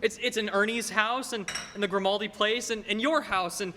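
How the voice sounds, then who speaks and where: Young guy doing earnest flustered small town banker in a radio play, stammery and pleading, high voice, big echoey hall. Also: fairly even intonation, moderate pace, sharp tone. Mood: pleading, urgent. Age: teens or young adult. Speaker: high school student actor. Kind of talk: stage radio play acting. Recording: reverberant hall, noisy stage recording.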